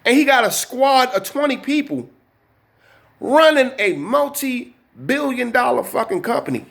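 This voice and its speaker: A man's voice: extremely high pitch, animated, measured pace, vocal fry, fairly bright tone. A man in his early forties talks straight to the camera from his desk. His delivery is loose and streetwise, full of slang and cursing. He keeps checking in with "you know what I'm saying" as he goes. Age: 40s. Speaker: channel host. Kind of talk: casual vlog talk to camera.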